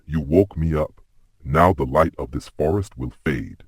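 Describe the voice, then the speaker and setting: A man voicing a menacing dark villain bird in a children's cartoon, speaking with ominous threat. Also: extremely low pitch, measured pace, breathy edge, dry recording, exaggerated voice. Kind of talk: children's cartoon story acting.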